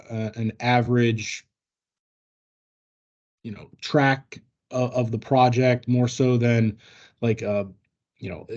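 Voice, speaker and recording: A man explaining things informally to colleagues as a senior staff member, in a halting, measured manner. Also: mid-range voice, darkish tone, lo-fi sound. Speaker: senior colleague answering trainees' questions. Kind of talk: informal explanatory workplace talk.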